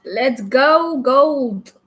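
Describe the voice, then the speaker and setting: A young Afrikaans-speaking woman talks her way through a live trading session in loose, unscripted chat. Her voice sits a little high. She often stops mid-thought and starts again. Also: lively intonation, fairly bright tone. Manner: halting, many restarts and self-corrections. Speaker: live forex trader. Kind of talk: casual live-stream chat while trading.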